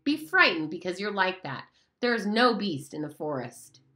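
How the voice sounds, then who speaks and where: A woman's voice: medium pitch, big pitch swings, moderate pace, sharp tone. Woman voicing a scornful bossy boy leader. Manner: scornful, blustering.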